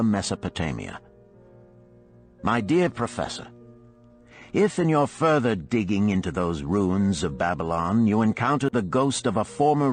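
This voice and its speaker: A man narrating an audiobook of parables and letters in a measured, expressive storytelling manner. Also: fairly low pitch.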